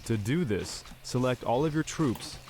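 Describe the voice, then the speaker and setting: An actor playing a formal game tutorial guide, giving measured, scripted instructions. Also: male voice, mid-range voice, expressive, a little mellow, lightly acted. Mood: instructive. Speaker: tutorial narrator.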